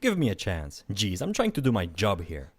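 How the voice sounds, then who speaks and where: A man's voice: lowish voice, wildly swooping pitch, moderate pace, breathy edge, full character voice. A man playing a breezy, condescending afterlife closure officer in an amateur radio drama. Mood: soothing. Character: fussy, glib male afterlife closure officer.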